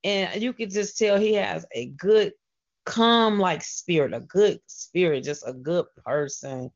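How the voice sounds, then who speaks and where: A woman's voice: lowish voice, lively intonation, measured pace, muffled recording. A woman hosting a radio show in a casual, effusive, slangy way.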